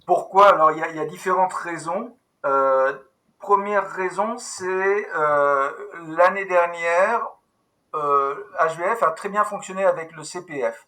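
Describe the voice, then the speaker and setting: A man runs a video-call meeting with a very bright, ringing tone. He speaks a little high and keeps going in a brisk, businesslike way, stumbling and restarting as he goes. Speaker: meeting host, company manager. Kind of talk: online team meeting.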